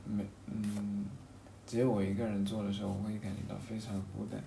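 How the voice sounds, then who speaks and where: A man in a casual, reflective conversation, halting and rephrasing his thoughts as he goes. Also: lowish voice, level tone, gravelly, dark tone. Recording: roomy echo, faint background noise.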